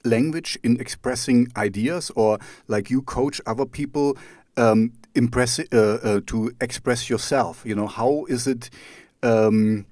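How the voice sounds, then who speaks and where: A man's voice: mid-range voice, measured pace, a little mellow, dry recording. A man with a German accent hosts a casual talk show in a mid-range voice. He rambles and hesitates, approaching each question from several sides. The sound is very dry, with no room around it. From East Germany. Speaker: radio talk show host. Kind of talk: casual conversational interview.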